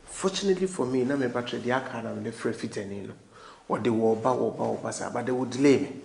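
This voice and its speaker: A man with a Ghanaian accent playing an easygoing husband in a film, talking in a relaxed mix of pidgin and Twi. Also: mid-range voice, quick pace, vocal fry, natural voice. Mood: explaining. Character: friendly middle-aged husband, easygoing. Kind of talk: film dialogue. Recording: roomy echo.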